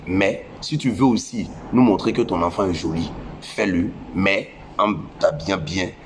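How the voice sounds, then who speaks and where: A man's voice: low-pitched, noisy background. A young man with a West African French accent and a head cold, lecturing parents in a preachy, scolding way and repeating phrases for emphasis. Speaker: social media commentator. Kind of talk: impassioned moralizing monologue.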